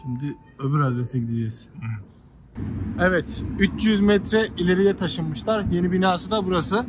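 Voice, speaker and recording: A man's voice: high-pitched, moderate pace. Chatty man vlogging on a noisy street. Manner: chatty, teasing, lively. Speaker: travel vlogger. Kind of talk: casual vlog narration. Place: outdoors in a city, streets and buildings. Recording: noisy city street sound.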